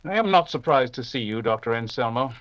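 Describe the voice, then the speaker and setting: An actor playing an unflappable English detective, measured and dryly dismissive, in a 1940s radio drama style. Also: male voice, medium pitch, lively intonation, a bit bright, dry recording, highs cut off, close to an everyday voice. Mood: calm composure.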